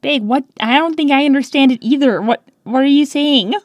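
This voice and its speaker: A woman's voice: sits high, fairly quick, dry recording, highs cut off, lightly acted. A woman voicing a young female cartoon hedgehog, peppy and touchy, with a sarcastic, girlish manner. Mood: baffled. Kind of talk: cartoon character answering fan questions.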